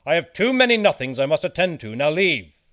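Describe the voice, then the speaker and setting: A man voicing a busy, pompous, dismissive town mayor in a children's storybook, impatient and loudly declaiming. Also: a little high, very animated, fairly quick, voice pushed up. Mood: haughty, dismissive.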